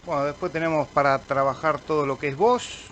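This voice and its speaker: An Argentine man, a radio amateur slowly talking through an audio editor tutorial while clicking through menus. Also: fairly high pitch, fairly even intonation, a bit bright, slight background noise. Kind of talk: screen-recorded software tutorial. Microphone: computer microphone.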